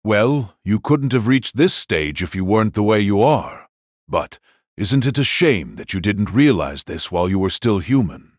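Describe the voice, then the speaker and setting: Man acting a low, husky, mocking warrior. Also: animated, no echo, studio quality. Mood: cool mocking. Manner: measured, condescending. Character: cool, mocking warrior taunting a fallen foe. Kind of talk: audio drama acting.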